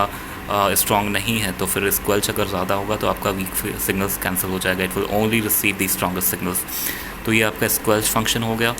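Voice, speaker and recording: An Indian-accented man explains a gadget in a low voice with very little rise and fall. He walks through it methodically, restating points as he demonstrates. There is clear background noise behind him. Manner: switches between Hindi and English, repeating points. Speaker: product reviewer.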